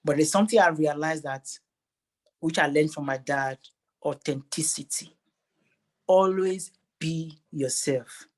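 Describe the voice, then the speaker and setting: A man with a Nigerian accent speaking earnestly and emphatically as a motivational interview guest, repeating phrases for effect. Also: on the high side.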